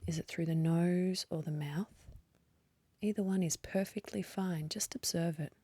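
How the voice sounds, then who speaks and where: A woman leading a guided meditation in a soft, breathy voice, gently and unhurriedly, with long pauses. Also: on the low side, fairly even intonation, no echo. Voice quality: soft, breathy, partly whispery. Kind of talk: guided meditation, slow calm instruction.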